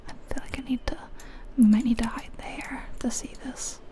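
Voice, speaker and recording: A woman whispering softly and breathily in an ASMR style, gently musing aloud as she comments on a video game. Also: fairly high pitch, steady tone, brisk.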